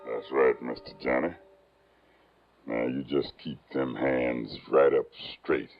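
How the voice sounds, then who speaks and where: An actor playing a huge, menacing backwoods killer with a rural Southern US accent and slow, ungrammatical talk, in 1950s radio drama style. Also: male voice, rumbling low, fairly even intonation, gravelly, exaggerated voice. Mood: menacing, pleased. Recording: muffled old radio recording, faint music.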